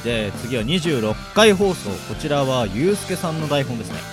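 A man's voice: mid-range voice, sing-song, moderate pace. A man hosting a radio show in casual banter. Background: music.

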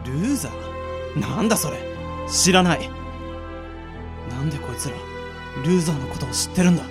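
Man acting an earnest, higher-voiced young secret agent. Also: lively intonation, moderate pace, music in the background, close to an everyday voice. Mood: guarded, defiant. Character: earnest young male secret agent, newly trained, defiant. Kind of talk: amateur radio drama acting with inner monologue.

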